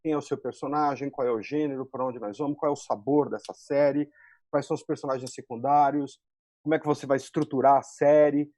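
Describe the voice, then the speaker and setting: A man's voice: mid-range voice, fairly even intonation, fairly quick, warm tone, no room sound. A man with a Brazilian accent talking colloquially as a screenwriter on a panel. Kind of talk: casual, colloquial panel talk.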